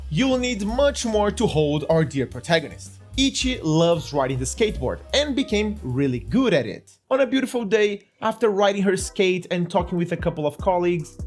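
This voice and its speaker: High-voiced Brazilian man, upbeat narration. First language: Portuguese. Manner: enthusiastic and playful, mock-dramatic asides. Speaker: manga review host. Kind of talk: scripted video narration. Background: faint background music.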